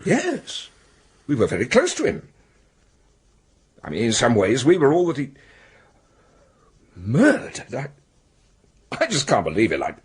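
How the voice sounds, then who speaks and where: An actor playing a proud, formal British academic administrator in a radio drama, precise and stuffy with some hesitation. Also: male voice, mid-range voice, wildly swooping pitch, measured pace, pauses often, husky, full character voice. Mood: shaken, upset. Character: proud, formal academic secretary of an exam board.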